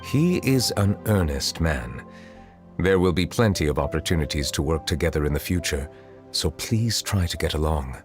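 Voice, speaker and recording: Casting: stately game official, low-pitched, grave, formal and reserved, with quiet kindness. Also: male voice, animated, moderate pace, over music. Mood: calm, advising. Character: dignified, reserved, kindly male high official, an outsider. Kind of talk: video game voice acting.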